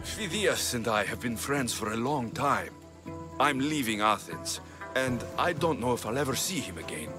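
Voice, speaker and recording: An actor playing a determined Greek mercenary hero in a game, with a blunt, terse and direct delivery. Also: male voice, mid-range voice, expressive, normal speed, over music, close to an everyday voice. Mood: insistent.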